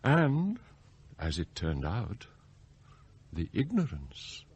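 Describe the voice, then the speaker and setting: An actor playing a scheming, deferential English butler in a radio drama, formal, unctuous and theatrical. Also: male voice, deep voice, huge pitch swings, extremely slow, darkish tone, slightly rough, highs cut off, heavily acted. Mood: anguished, intense. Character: scheming, outwardly deferential butler, secretly anguished and devoted. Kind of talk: radio drama acting, confessional narration and dialogue.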